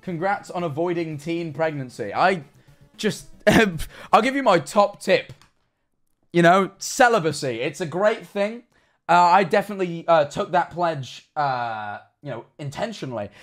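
A young man streaming from a small room, high-voiced and lively, swinging up and down as he reacts. He swears freely and mocks himself. Faint music plays underneath. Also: unhurried. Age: young adult. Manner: animated, sarcastic, swears often. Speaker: livestreamer. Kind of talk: reading and reacting to chat. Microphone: headset-style studio mic, seated at desk.

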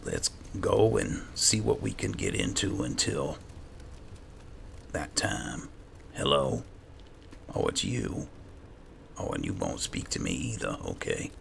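Deep croaky gamer dude, talks to himself in character while playing, game noise behind. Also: male voice, huge pitch swings, slow, long pauses, a bit bright. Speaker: gaming video host playing in character. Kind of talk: casual roleplay commentary over a game. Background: video game ambience.

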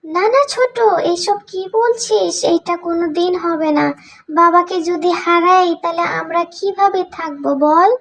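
A woman's voice: very high voice, unhurried. A woman voicing the caring, responsible elder daughter of a poor farmer in a cartoon, in a soothing, motherly manner. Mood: sad, concerned. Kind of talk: cartoon voice acting.

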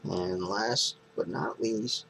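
Slow, low-voiced man showing comics. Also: creaky, fairly bright tone, a little hiss or hum, rough recording. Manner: slow, plain listing of items. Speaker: comic collector vlogger. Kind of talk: casual show-and-tell vlog. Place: small bedroom, indoors. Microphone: webcam at close range.

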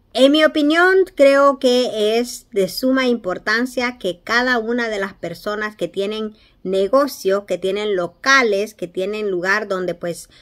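Slow, earnest woman talking to her phone. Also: medium pitch, a bit bright. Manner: slow, earnest, with many fillers and pauses. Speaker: vlogger commenting on a news clip. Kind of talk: casual commentary to camera. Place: small room indoors. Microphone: phone camera at arm's length.

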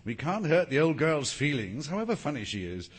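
An actor playing an urbane, flippant upper-class English husband in a drawing-room comedy, witty and amused. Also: male voice, a little high, sing-song, a little fast, slightly rough.

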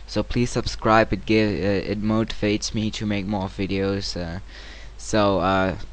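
Slow, low-voiced man giving a tutorial. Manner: hesitant, informal. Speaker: tutorial presenter. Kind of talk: casual software tutorial narration. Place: home computer screen-recording voice-over. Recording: clear steady background noise.

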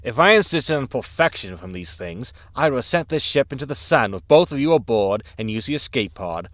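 A man's voice: mid-range voice, animated, normal speed, dry recording, studio quality, natural voice. A man playing a wry, exasperated spaceship captain in a sci-fi comedy audio drama, sarcastic and grumbling as he gives orders.

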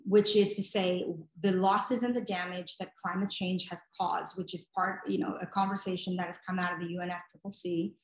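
Lowish-voiced woman in a roomy space. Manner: hesitant phrasing, repeats words while thinking. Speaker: guest speaker, nonprofit director. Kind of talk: thoughtful interview answers. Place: home room, indoors. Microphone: video call computer mic. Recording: roomy echo on video call.

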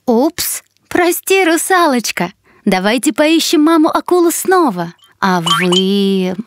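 A woman's voice: high voice, big pitch swings, slow pace, dry recording, studio quality, exaggerated voice. A woman voicing a bubbly, curious little fox hero in a children's cartoon. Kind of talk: children's cartoon narration and dialogue. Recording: music behind the voice.

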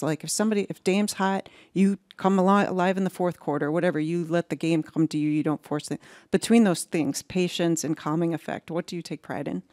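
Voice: mid-range voice, a little mellow, no echo, studio quality. A reporter putting conversational questions to an athlete at a press conference.

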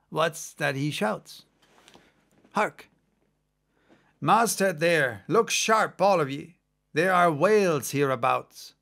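A man playing an excitable second mate on a sailing ship, spinning a yarn in a dramatic audiobook reading. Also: on the high side, creaky, full character voice. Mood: alert. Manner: conversational, exclamatory storytelling.

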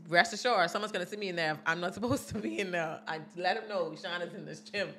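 A young woman co-hosting a podcast, chatting bluntly in a small studio on a boom mic and laughing often. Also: fast, bright, forceful tone, a little hiss or hum. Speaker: podcast co-host. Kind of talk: casual chat. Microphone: boom mic on stand.

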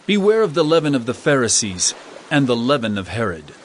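A man's voice: medium pitch, sing-song, brisk, slight background noise, natural voice. An actor playing an earnest male religious teacher in a dramatized scripture reading, with emphatic delivery. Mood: reproving.

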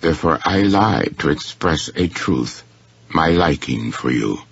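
A man voicing a courteous, shrewd, unflappable gentleman in a story, speaking formally and with deliberate precision. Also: rumbling low, animated, creaky, breathy edge, no room sound, highs cut off, full character voice. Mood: gently pointed.

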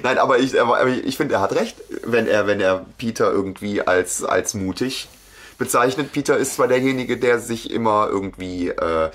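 A man's voice: fairly low pitch, normal speed, fairly bright tone. A man co-hosting a podcast, chatting casually as he discusses a story. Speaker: podcast co-host. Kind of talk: casual banter about an audio drama series.

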